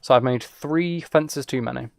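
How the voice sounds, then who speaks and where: A man's voice: medium pitch, normal speed, gravelly, a little mellow, no echo. A twenty-year-old man chatting casually as he streams a game, rambling along in a joking, teasing way. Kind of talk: casual chat while playing a game.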